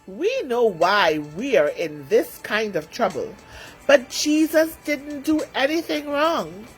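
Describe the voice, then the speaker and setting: A woman narrator puts on a male prisoner in a storybook tale, humble and earnest. The voice is raised and lively. He pleads and defends with feeling. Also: fairly high pitch, takes it slowly, soft background music, low-quality recording.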